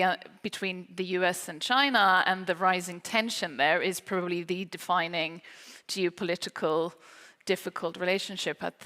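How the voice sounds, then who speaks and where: A woman moderating a panel discussion, attentive and asking questions. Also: mid-range voice. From lives in the UK. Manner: attentive, frequent soft backchannels. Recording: hall reverb.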